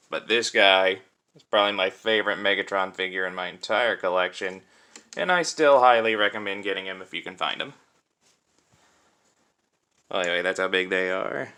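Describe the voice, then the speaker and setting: A man's voice: on the low side, moderate pace, bright, forceful tone, clear, resonant tone. A man giving a casual hands-on product review in an informal, conversational way, thinking aloud as he demonstrates. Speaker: toy reviewer and host.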